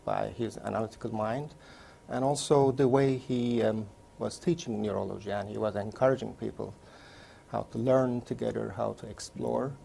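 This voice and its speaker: A man in his sixties from Turkey, recounting his career in a measured, step-by-step way during an oral history interview. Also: lowish voice, steady tone, creaky, warm tone, slight background noise. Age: 60s. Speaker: interviewee, neurologist.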